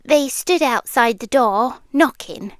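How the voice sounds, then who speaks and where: A possessive British yandere girlfriend, acted by a woman, speaks in a high, hushed voice for an ASMR roleplay. She draws her words out very slowly and often slips into whispers, sweetly menacing. The sound is close and dry. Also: animated, dry recording. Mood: quietly smug. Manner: slow, deliberate, hushed, often slipping into whispers. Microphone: close microphone, dry.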